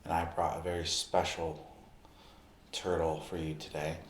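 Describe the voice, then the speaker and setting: A man speaking in an ASMR roleplay, addressing the listener directly in short, unhurried phrases with pauses. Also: sits low, level tone, reverberant, a little hiss or hum. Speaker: ASMR performer playing a basketball recovery expert.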